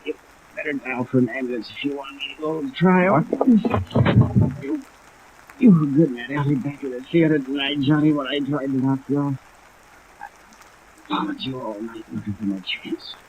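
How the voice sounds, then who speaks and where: An actor playing a crooked insurance adjuster turned blackmailer in a 1950s radio drama, with folksy, wheedling slang. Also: male voice, mid-range voice, normal speed, long pauses, a little mellow, muffled recording, lightly acted. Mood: wounded, gasping. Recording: noisy old radio, rain sound overlaid.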